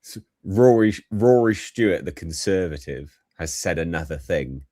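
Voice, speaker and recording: A man co-hosting a political show in casual reaction chat, speaking haltingly, repeating himself and trailing off. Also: low voice, expressive, dark tone, dry recording, clean studio sound. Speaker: co-host of a political commentary show.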